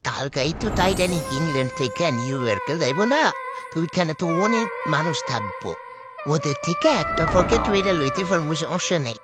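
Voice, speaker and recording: A woman's voice: sits low, lively intonation, a little fast, heavy background noise. A woman voicing a pleading, crafty old male beggar in a comic cartoon, coaxing and mixing in broken Hindi words. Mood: sly, reassuring. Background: music.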